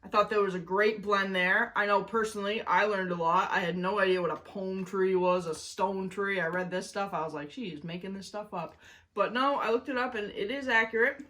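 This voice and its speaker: Female children's show host, mid-pitched, enthusiastic and playful, speaking directly to young viewers. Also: a little fast, few pauses, a bit bright. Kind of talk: children's book reading and review.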